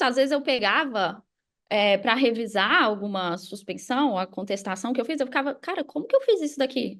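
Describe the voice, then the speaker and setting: A Brazilian woman telling a personal story casually as a podcast guest, animated and informal, often checking in with listeners. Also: high voice. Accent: Brazilian Portuguese.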